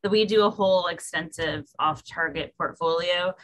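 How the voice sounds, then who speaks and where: Brief: woman scientist on a video call, lowish voice, halting delivery, full of fillers. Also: fairly bright tone, a little hiss or hum, low-quality recording. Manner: hesitant, many fillers and restarts. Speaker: researcher. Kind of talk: answering questions on a webinar.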